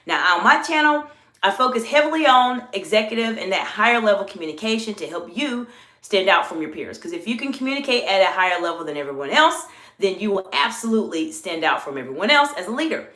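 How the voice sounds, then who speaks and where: A woman's voice: mid-range voice, a bit bright. Southern-accented woman coaching live, echoey room. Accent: Southern US mixed with New York. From American South. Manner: drawn-out emphatic words, reads chat aloud. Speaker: executive coach and channel host. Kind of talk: live-stream coaching talk.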